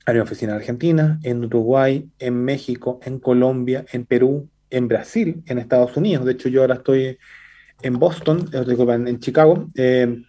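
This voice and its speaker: A young man with a Chilean accent talks quickly and fluently over a video call, stringing his points together with fillers. His voice is dark and mellow. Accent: Chilean Spanish. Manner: fast, fluent pitch, many fillers like "entonces" and "eh". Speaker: startup CEO guest. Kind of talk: business interview by video call. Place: indoor room.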